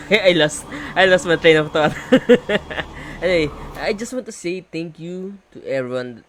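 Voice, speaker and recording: A man streaming a game, chatty and halting, his voice slightly raspy after an illness. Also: on the high side, a bit bright, a little hiss or hum. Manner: chatty, halting, many fillers and laughs. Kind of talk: casual gameplay commentary.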